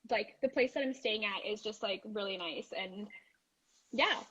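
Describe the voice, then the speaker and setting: A woman's voice: mid-range voice, moderate pace, breathy edge, muffled recording. A woman who grew up in Malaysia and Thailand, guesting on a video call and talking casually with many "like"s. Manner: relaxed, uses "like" a lot. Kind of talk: casual interview chat.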